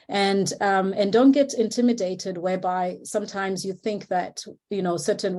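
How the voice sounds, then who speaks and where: A woman's voice: mid-range voice, dark tone. A woman from Zimbabwe, a researcher, giving informal remarks at an online seminar over a video call, hesitant with many fillers and restarts, and encouraging.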